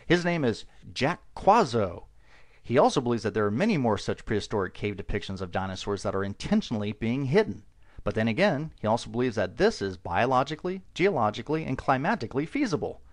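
A man's voice: mid-range voice, very animated, fast. A man narrating a video voice-over with dry, mocking sarcasm.

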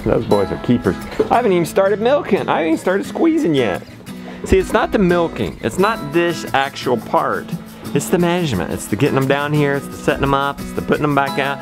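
A man's voice: a little high, big pitch swings, fairly quick, music in the background. A man in his thirties talking casually and encouragingly, a folksy father vlogging through farm chores. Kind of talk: casual vlog talk while doing farm chores.

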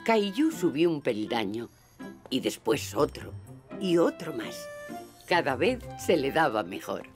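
An actress narrating a children's cartoon as a gentle storyteller, with a Castilian Spanish accent and an expressive, simple way of explaining. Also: female voice, very deep voice, measured pace, exaggerated voice. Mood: gentle, pleased. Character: gentle storyteller narrating a small boy's day. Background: music.

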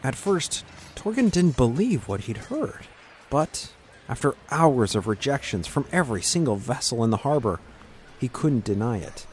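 A man's voice: medium pitch, sing-song, moderate pace, a little hiss or hum. A man narrating a scripted fantasy story.

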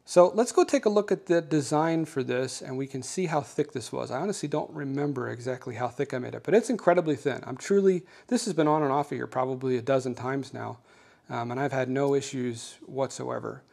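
A man with a mid-pitched voice talks through a practical project as the host of his own show. His delivery is casual and conversational, like an unscripted walkthrough for viewers. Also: a little fast, gravelly, a little mellow. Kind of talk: casual hands-on explainer of a homemade design.

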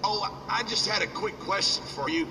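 A man's voice: high-pitched, normal speed. Smug mocking cowboy businessman, game cutscene, noisy background. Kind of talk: video game cutscene dialogue. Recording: distant echoey game audio.

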